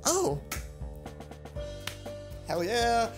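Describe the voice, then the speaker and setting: Woman playing visual novel heroine, an eager and easily flustered young gamer girl, loud music behind. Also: on the high side, very animated, very slow, voice pushed up, exaggerated voice. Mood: surprised, pleased.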